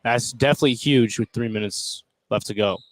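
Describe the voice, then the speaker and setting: A man's voice: medium pitch, fairly quick. A man giving casual color commentary on a live basketball broadcast. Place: indoor school basketball gym. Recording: noisy courtside gym broadcast. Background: clear gym noise.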